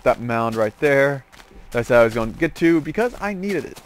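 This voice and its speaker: A man's voice: mid-range voice, lively intonation, normal speed. A man giving casual, rambling game commentary as host, drifting into joking asides. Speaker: host of a gaming series. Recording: clear background noise with clicks.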